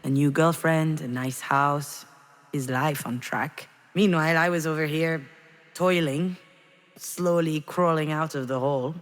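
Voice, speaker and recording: A woman's voice: low-pitched, fairly even intonation, measured pace. An actress playing a melancholy, regretful woman in a video game, confiding hesitantly in an introspective monologue. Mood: bitter, wistful. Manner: hesitant, confessional, reflective. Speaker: video game protagonist narrating her memories.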